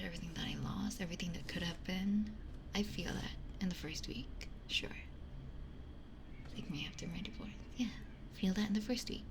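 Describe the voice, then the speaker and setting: A woman streamer whispering in a breathy, conversational ASMR style, talking reflectively close to a binaural microphone. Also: fairly low pitch, noisy background. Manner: reflective, conversational, repeats phrases for emphasis. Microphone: close binaural ear microphone.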